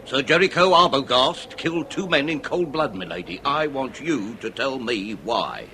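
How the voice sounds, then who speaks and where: A man's voice: medium pitch, sing-song, normal speed, pushed and bright, slight background noise. A man acting a shrewd, relentless Victorian police inspector in a period radio drama, with formal, declamatory delivery. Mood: measured, accusing. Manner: formal, declamatory, theatrical interrogating. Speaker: actor.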